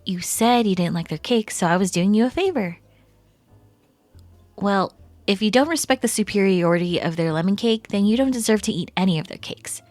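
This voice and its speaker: A woman playing a chatty, teasing female best friend in casual, animated roleplay audio. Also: mid-range voice, normal speed, no echo, faint music behind, lightly acted.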